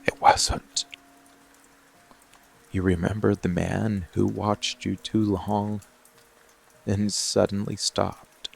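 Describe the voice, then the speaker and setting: Man voicing a gravelly, crawling-slow monster lover. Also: on the low side, flat delivery, full character voice. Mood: possessive, menacing. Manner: hushed, breathy, slow soothing menace, often whispering. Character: possessive, protective male monster lover lurking in bedroom. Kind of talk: dark romantic boyfriend ASMR roleplay. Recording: music and rain bed behind voice. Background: music, rain sounds.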